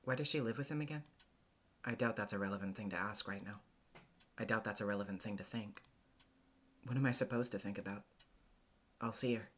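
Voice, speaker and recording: A man narrating a visual novel in the first person, acting it out in an introspective, hesitant, deliberate way. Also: on the low side, a bit bright. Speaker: voice actor, narrator.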